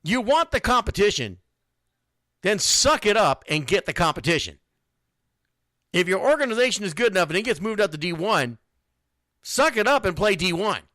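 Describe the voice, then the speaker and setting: Man, sports radio host, bright forceful voice, blunt and emphatic, close dry sound, unscripted. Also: high-pitched, sing-song, moderate pace, long pauses, dry recording. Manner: blunt, emphatic, repeats phrases for stress. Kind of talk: unscripted sports talk, answering listeners' comments.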